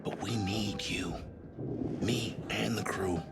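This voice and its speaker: An actor playing a protective spaceship commander in a sci-fi game, speaking in a firm, earnest, measured way. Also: male voice, on the low side, creaky. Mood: hushed, earnest. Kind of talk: cinematic game drama acting. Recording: loud music behind the voice.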